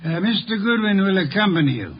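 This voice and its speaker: An actor playing an eccentric, grumpy genius detective with a husky voice and pompous, formal speech, in old-time radio style. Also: male voice, high-pitched, big pitch swings, fast, heavily acted. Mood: brisk, pompous. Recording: muffled 1950s radio recording.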